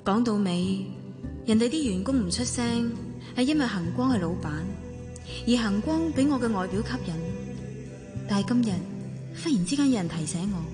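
A woman's voice: medium pitch, lively intonation, moderate pace, big-room sound, music in the background, close to an everyday voice. A woman acting a proud but self-doubting mother in a radio drama, narrating and talking with a Hong Kong accent. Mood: dejected, self-doubting.

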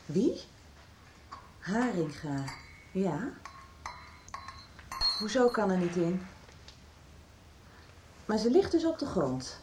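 A woman's voice: mid-range voice, sing-song, extremely slow, long pauses, a little mellow, a little hiss or hum. A woman playing a busy female family doctor in a radio soap, practical, friendly and informal. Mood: practical, concerned. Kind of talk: 1990s Dutch radio soap acting.

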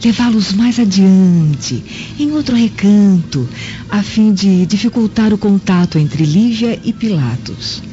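A woman's voice: mid-range voice, expressive, slow, mellow. An actress playing a scheming, seductive Roman noblewoman in a radio drama, with an insinuating, calculating manner. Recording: muffled lo-fi audio with music.

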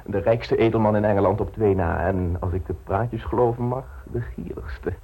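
A man's voice: fairly low pitch, normal speed, breathy edge, highs cut off. A man playing a pompous out-of-work stage actor. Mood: grandiloquent. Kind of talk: radio drama acting.

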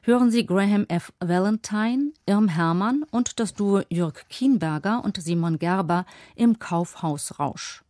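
A woman's voice: medium pitch, measured pace, hardly any pauses, darkish tone, dry recording. A woman radio presenter reading a scripted introduction in a studio.

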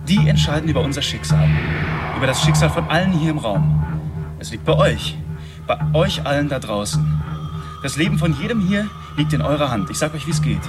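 An actor playing a volatile, taunting killer who performs for television viewers in a radio drama. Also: male voice, on the high side. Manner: brash, crude, taunting, addressing a mass audience. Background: music.

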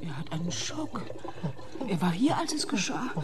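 An actress playing a distraught, naive woman suspected of murder in a radio crime comedy, speaking in a plaintive, halting way. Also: on the low side, big pitch swings, background noise. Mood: tender, pleading.

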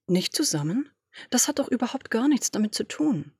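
A domineering woman boss with a husky, breathy voice, as a woman reads her fires off brisk orders and brushes aside objections. She sounds dry and close to the microphone, like a home audio drama recording. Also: fairly high pitch, sing-song, mellow, no room sound, exaggerated voice. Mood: impatient, imperious. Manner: commanding, brisk, dismissive. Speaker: podfic reader. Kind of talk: German audio drama reading.

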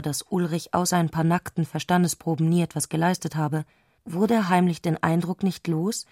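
A woman reading literary scripted narration as a radio drama narrator, measured and composed. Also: lowish voice, darkish tone, no room sound, clean studio sound.

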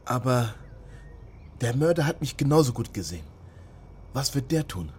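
A man's voice: mid-range voice, sing-song, normal speed, darkish tone, a little hiss or hum, lightly acted. An actor playing a wary young man living illegally, in naturalistic radio drama dialogue and inner monologue. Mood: uneasy. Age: young adult.

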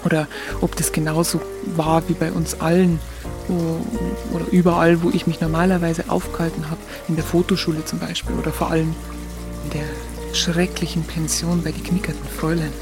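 A naive young Bavarian woman telling her story in a radio play. Her voice is slightly low, her speech colloquial, halting and confiding, with clear music playing behind her. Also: little pitch movement. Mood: confiding, sheepish. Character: naive, candid young Bavarian woman photographer recounting memories. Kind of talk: first-person radio drama monologue in colloquial speech.

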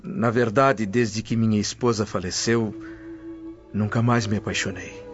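A man's voice: lowish voice, fairly even intonation, a little slow, music in the background, natural voice. An actor with a Brazilian accent playing a humble, courteous, evasive Roman man in a radio drama. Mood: polite, evasive. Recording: dull, muffled studio track.

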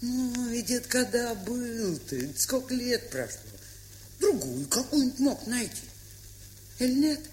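An old provincial aunt in an audio drama, scolding and fussing with folksy affection. Her pitch is very lively and moves widely. A faint hiss and crackle of a vintage record sits behind her. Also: measured pace. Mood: teasing, regretful. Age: older. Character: warm, bossy, chatty old provincial spinster aunt. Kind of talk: dramatised short story, stage-style audio acting.

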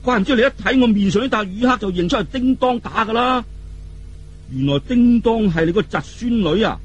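A man's voice: sits high, lively intonation, brisk, a little hiss or hum, pitched above the performer's own voice. A man voicing a naive, earnest young martial-arts hero in a storybook telling, guileless and straightforward.

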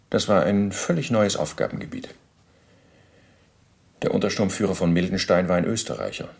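An actor playing a former SS officer under interrogation in a radio drama, recounting in a precise, bureaucratic, self-justifying way. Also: male voice, rumbling low, vocal fry, heavily acted.

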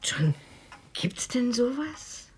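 A woman's voice: a little high, sing-song, extremely slow, breathy edge, full character voice. An actress in a radio drama playing a puzzled, inquisitive woman who asks questions in a naive, colloquial way.

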